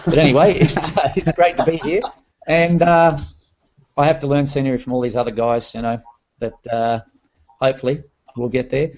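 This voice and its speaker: A man's voice: mid-range voice, fairly even intonation, normal speed, a little mellow, a little hiss or hum. Chatty Scottish man on a muffled call. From Scotland. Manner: chatty, self-deprecating, laughing between phrases. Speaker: guest modeler. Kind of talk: casual self-introduction. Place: room by a window. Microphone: webcam on a video call. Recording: muffled video-call audio.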